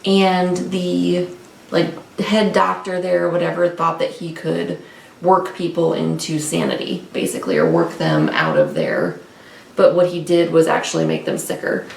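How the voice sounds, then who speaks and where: Woman, book vlog co-host, informal unscripted talk, creaky voice, echoey room, self-correcting. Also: fairly low pitch, expressive, normal speed. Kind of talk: casual unscripted book chat. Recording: roomy, distant mic with faint noise.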